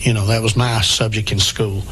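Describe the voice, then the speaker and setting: A man's voice: lowish voice, flat delivery, fairly quick, a bit bright, slight background noise, poor audio. A man hosting a local radio talk show. He speaks in a folksy, rambling way with homespun grammar. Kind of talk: casual chat interview.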